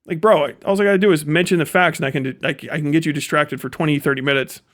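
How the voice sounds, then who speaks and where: A man's voice: fairly high pitch, fairly even intonation, quick pace, a bit bright. A man giving off-the-cuff livestream commentary in a blunt, profane, sardonic way. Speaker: live streamer.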